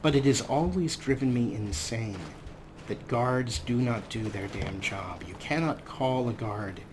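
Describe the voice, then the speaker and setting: A man's voice: mid-range voice, measured pace, noisy background. A man hosting a game let's play, commenting in a sarcastic, self-mocking way with casual swearing. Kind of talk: gameplay commentary.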